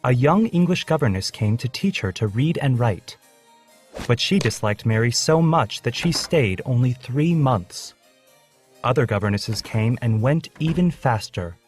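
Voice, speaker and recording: A man's voice: medium pitch, animated, measured pace, darkish tone, soft background music. A man reading a scripted children's story as its narrator.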